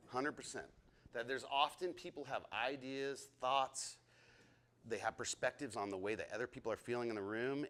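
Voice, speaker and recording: Casting: thirties man, group teacher, eager and talkative, average pitch. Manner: excitable, talks a lot, asks the group questions. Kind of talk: interactive leadership lesson.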